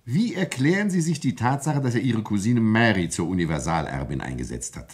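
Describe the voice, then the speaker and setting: An actor playing a stern, insistent coroner who questions a witness formally and deliberately in a vintage German radio crime drama. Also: male voice, lowish voice, sing-song, slightly rough. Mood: probing.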